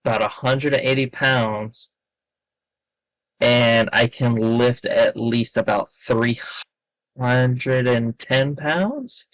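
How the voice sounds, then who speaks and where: Man, relaxed rambling podcast talk, dry close sound. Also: mid-range voice, takes it slowly, no room sound, low-quality recording. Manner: laid-back and rambling, with many fillers. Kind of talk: casual podcast chat.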